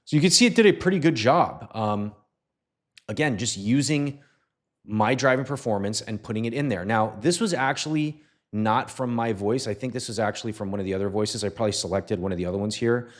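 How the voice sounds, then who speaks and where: A man narrating a casual software tutorial in a conversational way, often checking in with short tag questions. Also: lowish voice, brisk. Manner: conversational, often tags sentences with "right?". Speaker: tutorial host.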